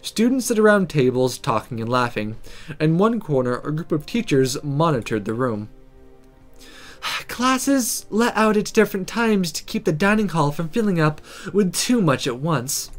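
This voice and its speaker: This is a casual let's player with a very lively, swooping delivery. They read a story game aloud and toss in their own animated reactions, with music playing behind. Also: medium pitch. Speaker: let's player reading a visual novel aloud. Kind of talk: casual gaming commentary and read-aloud narration.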